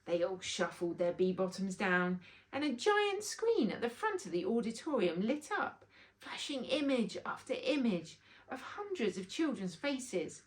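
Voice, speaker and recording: Female children's storyteller: higher voice, very lively intonation, expressive scripted narration. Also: a little slow, rough recording. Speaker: children's author reading aloud.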